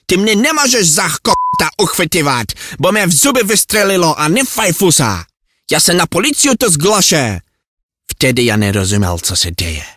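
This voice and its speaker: A man's voice: medium pitch, a bit bright, no echo. Flustered Czech man played by an actor, close and dry. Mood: agitated, indignant. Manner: flustered ranting in garbled mock Czech-Polish. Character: hapless, exasperated Czech man lost in Poland. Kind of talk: comic monologue.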